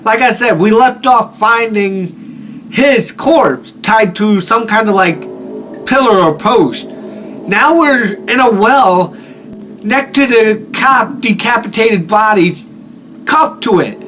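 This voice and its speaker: A man's voice: high voice, expressive, slow, fairly bright tone, a little hiss or hum, poor audio. A man hosting a horror game video with informal, rambling commentary, swearing freely. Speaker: gaming video host.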